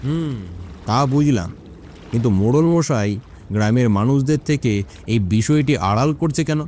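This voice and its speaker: A man's voice: medium pitch, normal speed, dark tone, natural voice. A man playing a grumbling, suspicious old village fisherman in a cartoon, folksy and chiding. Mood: puzzled, suspicious. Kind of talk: Bengali cartoon story dialogue.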